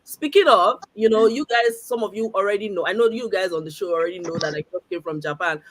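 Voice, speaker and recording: A woman's voice: mid-range voice, fast talker, a little hiss or hum, low-quality recording. A woman hosting an online chat show, talking straight to her viewers with enthusiasm. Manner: enthusiastic, addresses viewers directly. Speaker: host.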